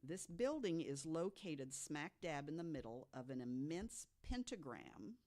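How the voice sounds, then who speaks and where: A podcast co-host telling stories from notes to the others in a deliberate way, with emphatic asides. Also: medium pitch, big pitch swings, a little mellow. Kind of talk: storytelling from notes to co-hosts.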